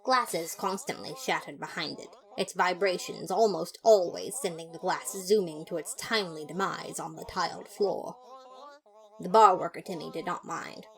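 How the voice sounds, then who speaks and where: A woman reading scripted first-person fiction in a dramatic, expressive narrator's manner. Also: fairly low pitch, normal speed, rough recording. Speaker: podfic narrator.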